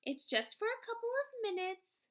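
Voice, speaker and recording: A woman reader plays a mother in a children's storybook. The voice is high and very lively, coaxing and reassuring, with an inquiring tilt. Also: normal speed, exaggerated voice. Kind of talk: children's novel read-aloud.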